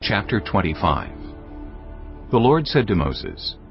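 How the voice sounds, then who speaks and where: A deep-voiced man narrates scripture with a lively, expressive delivery. His pitch rises and falls often. The sound is dull and lo-fi, with faint music behind him. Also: takes it slowly, gravelly.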